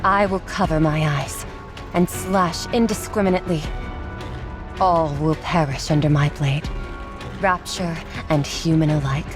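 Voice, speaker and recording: An actress playing a resolute, remorseful swordswoman in a dramatic video game, speaking in a measured, theatrical way. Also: low-pitched, steady tone. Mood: grave resolve. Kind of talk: dramatic anime game voice acting. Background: music behind the voice.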